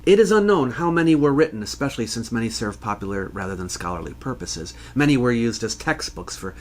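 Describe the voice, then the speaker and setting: A man giving scripted educational narration as a history host, in a measured, scholarly, explanatory manner. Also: medium pitch, lively intonation.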